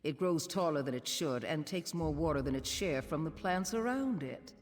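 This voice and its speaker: An actress playing a haughty, menacing guild master in a video game, sardonic and deliberate. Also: female voice, sits low, expressive, a little mellow. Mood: smug menace. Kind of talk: video game character dialogue. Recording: long hall reverb, music behind.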